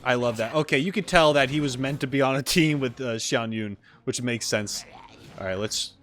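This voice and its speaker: A man's voice: mid-range voice, moderate pace, fairly bright tone. A man giving casual gaming reaction commentary as a video host, informal and exclamatory, thinking aloud as he goes. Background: background game music.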